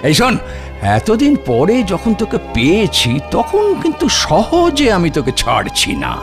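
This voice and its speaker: Swooping, effusive cartoon gentleman. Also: sits high, wildly swooping pitch, unhurried, music in the background, full character voice. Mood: joyful. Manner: effusive, repeats himself, mixes in English phrases. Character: jovial, effusive rich friend and generous host. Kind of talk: children's cartoon voice acting.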